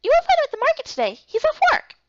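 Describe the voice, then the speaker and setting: A tiny, eager game sidekick. Her voice is pitched very high and bounces around, in a dull, dry recording. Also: wildly swooping pitch, very fast, exaggerated voice. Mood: chirpy, helpful. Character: small, breathless, eager female companion with short legs.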